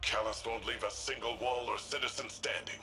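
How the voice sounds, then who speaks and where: An actor voicing a grave, wary veteran warrior in a video game, speaking in a grim, terse way. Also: male voice, medium pitch, steady tone, brisk, sharp tone. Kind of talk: video game dialogue acting. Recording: game music behind.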